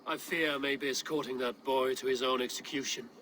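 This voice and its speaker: An actor playing a stern Viking warrior chieftain in a video game, speaking in a blunt, measured, commanding way. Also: male voice, mid-range voice, steady tone, sharp tone, a little hiss or hum, natural voice. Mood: resolute. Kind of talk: scripted video game drama acting.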